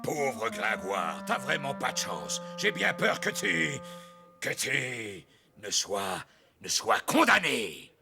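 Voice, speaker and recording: An actor playing a brash, mocking leader of beggars in a French cartoon dub, gravelly and theatrical. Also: male voice, mid-range voice, wildly swooping pitch, slow, over music, exaggerated voice. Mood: mock pity. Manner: theatrical, taunting.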